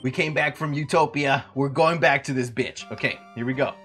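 Young dude streaming a game, swears a lot, rambles to chat, bit of music under it. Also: male voice, mid-range voice, normal speed, fairly bright tone. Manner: loose, profane, talks to chat, jokes around. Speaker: streamer playing a video game. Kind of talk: casual live-stream chat. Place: home room, seen on webcam.

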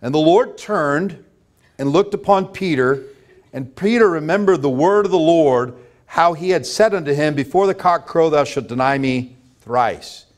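High-pitched American man preaching a sermon. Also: animated, unhurried. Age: middle-aged. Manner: emphatic, repetitive, asking rhetorical questions. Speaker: pastor preaching. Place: church sanctuary, at a pulpit before a congregation.

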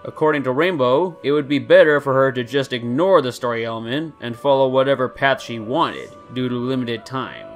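A man narrates a story in a scripted reading style. His pitch sits in the middle range, and light guitar music plays behind him. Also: lively intonation, brisk, few pauses. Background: soft guitar music.

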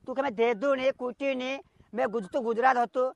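A woman's voice: high-pitched, fairly even intonation, a little fast, creaky. A woman in a heated argument on a phone call, scolding abusively and repeating herself.

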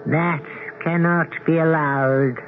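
An imperious immortal ruler in a 1970s radio play. He speaks very deliberately and formally, with condescension, in a fairly high voice. The sound is narrow like an old broadcast. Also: steady tone, a little hiss or hum. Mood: stern, condescending. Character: imperious immortal lord ruling a planet of souls. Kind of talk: 1970s radio drama acting.

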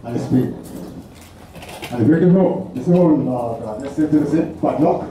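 A man's voice: mid-range voice, moderate pace, warm tone, a little hiss or hum, lightly acted. A Vincentian man playing a wary, superstitious veteran whaler in a live stage dramatization, with an insistent, repetitive way of talking. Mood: uneasy. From St. Vincent and the Grenadines. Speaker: performer in a folk whaling troupe. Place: festival tent stage, far camera. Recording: distant, very echoey, muffled festival tent recording.

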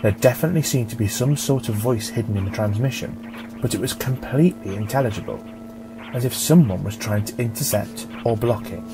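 A man reading a scripted story as an audiobook narrator, in a measured, dramatic storytelling manner. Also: mid-range voice, over music, poor audio.

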